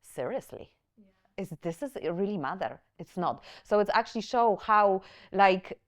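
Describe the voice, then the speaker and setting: A woman's voice: mid-range voice, moderate pace. A woman from Poland with a Polish accent, hosting a podcast as a nail educator, talking animatedly with frequent restarts. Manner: animated, restarts words, loose English grammar. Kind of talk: casual chat.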